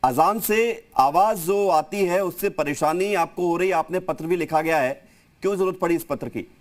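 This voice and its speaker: A man's voice: high-pitched, moderate pace. A man anchoring live television news and putting questions to guests.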